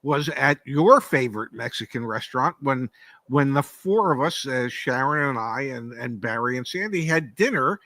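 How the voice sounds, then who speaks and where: An older man talking in a measured, hesitant way and often restarting his sentences. His voice sits at an ordinary pitch, and the sound is dry and close with no room echo. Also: expressive, a bit bright. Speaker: podcast co-host. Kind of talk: casual live-stream talk.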